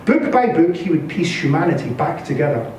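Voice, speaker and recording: A man reads literary fiction aloud like a stage storyteller, with an expressive, dramatic delivery. His voice sits fairly high and moves through lively swings of pitch, with a soft, mellow tone. It is a live reading in a bare indoor space. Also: fairly quick. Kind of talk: scripted literary narration read aloud. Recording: echoey room from a distance, faint noise.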